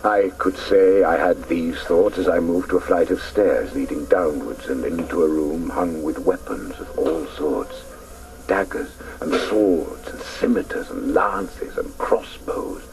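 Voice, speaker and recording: A grown man haunted by his childhood, telling it in solemn, hesitant first person. The voice is very deep and creaky. The radio recording is a little distant with faint noise. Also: measured pace, darkish tone. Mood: reflective. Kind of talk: dramatic first-person narration.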